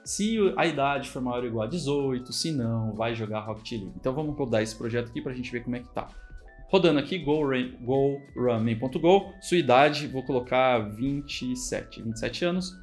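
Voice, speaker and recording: A man's voice: medium pitch, normal speed, faint music behind. A man with a Brazilian Portuguese accent, a programming instructor walking through code in a casual, chatty screen-recorded tutorial and often checking in with the viewer. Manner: casual, chatty explaining with frequent check-ins.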